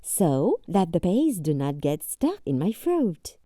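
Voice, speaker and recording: A woman's voice: on the low side, sing-song, slow, warm tone, no room sound, studio quality, exaggerated voice. A woman playing a sly, bold young bride who cajoles and schemes in a cartoon fairy tale. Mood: cajoling.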